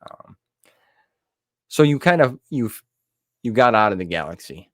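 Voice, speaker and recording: A man hosting a podcast interview in casual chat, an enthusiastic fan with loose, halting phrasing. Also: fairly low pitch, very animated, no echo, studio quality.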